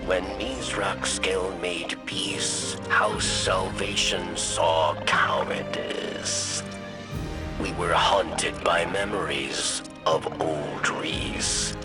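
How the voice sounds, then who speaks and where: An actor voicing a weary, mournful old alien in a video game, speaking gravelly, halting, broken phrases. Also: male voice, sits low, fairly even intonation, loud music behind the voice. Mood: mournful, reflective. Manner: halting third-person speech in broken phrases. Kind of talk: scripted dramatic monologue for a video game.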